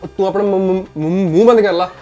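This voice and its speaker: A man's voice: high-pitched, fast, a little mellow, highs cut off, faint music behind, natural voice. A man playing a young village son with a heavy stutter, who repeats first sounds, gets stuck mid-word, then bursts out. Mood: stuttering, pleading. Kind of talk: village comedy sketch acting.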